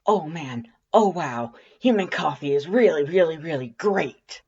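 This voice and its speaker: A woman voicing a brash, excitable female monster best friend in a fan-fiction audiobook, loud, emphatic and teasing. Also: deep voice, measured pace, slightly rough, dull audio, pitched above the performer's own voice, full character voice. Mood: amazed.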